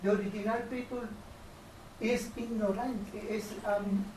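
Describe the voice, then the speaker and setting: A woman from Colombia with a Colombian Spanish accent, a native Spanish speaker, speaking halting, simple English from the audience. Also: medium pitch, fairly even intonation, warm tone, slight background noise. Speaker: audience member. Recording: distant, roomy hall recording.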